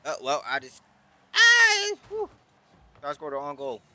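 A man's voice: fairly high pitch, wildly swooping pitch, a little slow, sharp tone. A young man with a headset mic in his bedroom, giving hyped, playful commentary on his game and joking about his own singing. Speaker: gaming YouTuber. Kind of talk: casual gameplay commentary and outro. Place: bedroom at home, indoors.

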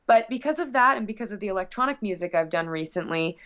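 Female musician guest, medium pitch, chatty, excitable, rambling delivery, slightly muffled lo-fi recording. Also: fast talker. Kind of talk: casual, chatty interview. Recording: slightly dull, lo-fi audio.

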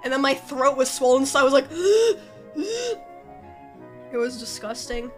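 A young woman talks casually to her stream from a bedroom setup, her voice high and congested. She sounds playfully exasperated as she jokes with her chat. Faint music plays behind her. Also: very animated, a little slow. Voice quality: nasal, congested.

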